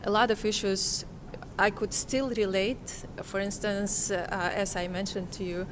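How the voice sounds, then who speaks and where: A woman speaks slowly in an interview. She is reflective and hesitant, pausing to find her words. A faint noise of the room sits behind her. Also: medium pitch, steady tone. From Kazakhstan, Central Asia. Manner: hesitant, halting, searching for words. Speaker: interviewee, conference participant.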